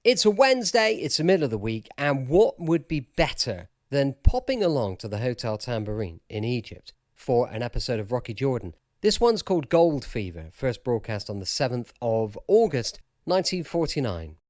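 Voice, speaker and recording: Casting: British male podcast host, mid-pitched, lively swooping delivery, chatty, very dry close sound. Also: moderate pace, hardly any pauses, no echo. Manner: chatty, conversational, emphatic. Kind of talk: casual podcast introduction.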